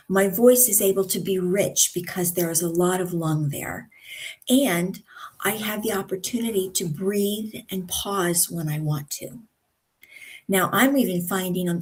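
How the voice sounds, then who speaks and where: Woman, communication coach talking into a desk mic on a live stream, deliberate, instructive, leans on pauses. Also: mid-range voice. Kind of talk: live-streamed podcast talk. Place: seated indoors at a computer.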